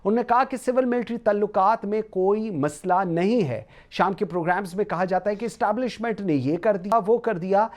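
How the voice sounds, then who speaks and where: A woman's voice: mid-range voice, a little fast, few pauses, darkish tone. A woman with a Pakistani accent presenting a political talk show and putting questions to guests.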